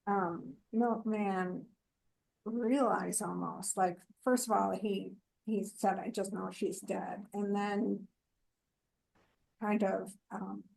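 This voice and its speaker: Slow-talking older woman, creaky, higher voice. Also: steady tone, darkish tone, rough recording. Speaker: book club member. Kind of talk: casual book discussion.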